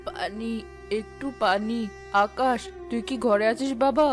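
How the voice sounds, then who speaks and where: Woman playing high-voiced, ailing, plaintive mother. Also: measured pace, music in the background, close to an everyday voice. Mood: weak pleading. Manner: plaintive, fatalistic. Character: poor, gravely ill village mother, despairing, protective. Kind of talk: cartoon drama dialogue.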